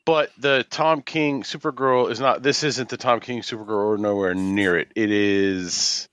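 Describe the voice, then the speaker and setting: A man's voice: lowish voice, fairly even intonation, unhurried, fairly bright tone, clear, resonant tone, dry recording. A man co-hosting a casual podcast, speaking in a plainspoken, matter-of-fact way. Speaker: podcast co-host. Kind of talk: casual comics podcast chat.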